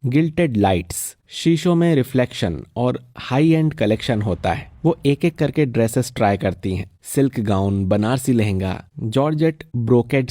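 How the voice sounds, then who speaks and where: Casting: male narrator for cartoon tales, soft warm tone, medium pitch, close dry sound. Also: no echo. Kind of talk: scripted cartoon story narration.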